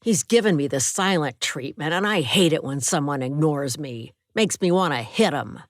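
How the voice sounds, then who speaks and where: A woman reading a gruff, menacing male hospital patient who taunts in a snide voice. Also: deep voice, expressive, moderate pace, no room sound, studio quality. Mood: taunting.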